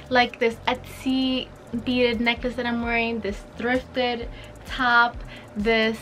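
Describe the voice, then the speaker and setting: A woman in her twenties with a Dominican accent, chatting animatedly through a skincare review in her bedroom. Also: on the high side. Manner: animated, talks with her hands, upbeat. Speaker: fashion and skincare vlogger. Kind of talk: casual chatty product review. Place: bright bedroom.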